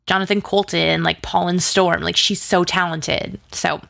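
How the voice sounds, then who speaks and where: A woman's voice: on the low side, flat delivery, measured pace, creaky, fairly bright tone, no echo, clean studio sound. A woman chatting casually as an interview guest, enthusiastic and gushing, peppering her sentences with "like". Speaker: interview guest, audio drama writer and producer.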